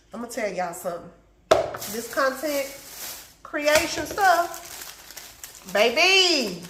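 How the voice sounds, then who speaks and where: A woman's voice: fairly high pitch, a bit bright, roomy sound, slight background noise. A middle-aged woman vlogger chatting casually while she cooks in her home kitchen, sounding congested and calling her viewers y'all and baby. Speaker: lifestyle vlogger. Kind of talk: casual chat while cooking.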